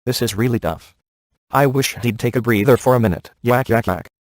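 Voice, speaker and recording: A man acting a cocky, laid-back ghost hunter in a video game, sardonic and offhand with deadpan sarcasm. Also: mid-range voice, fairly quick, no room sound, studio quality, natural voice. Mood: dry, wisecracking.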